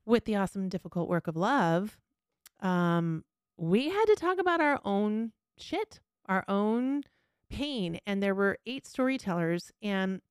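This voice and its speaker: A woman's voice: medium pitch, clean tone, no room sound, studio quality. A woman speaking candidly and earnestly in a conversational podcast interview, with emphatic personal storytelling as host.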